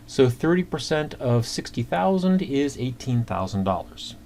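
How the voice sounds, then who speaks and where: Over clear background noise and keyboard clicks, a man with a medium-pitched voice teaches accounting in an informal screencast. He works through figures step by step, conversationally and haltingly. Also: big pitch swings, darkish tone. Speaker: accounting instructor. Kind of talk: informal screencast lesson, explaining worked calculations. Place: indoors at a computer.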